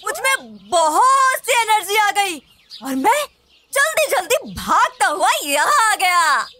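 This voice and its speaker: A woman's voice: very high voice, big pitch swings, slow, bright, forceful tone, a little hiss or hum, heavily acted. A woman voicing an impulsive, boastful young schoolboy in a children's cartoon. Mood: confident, eager.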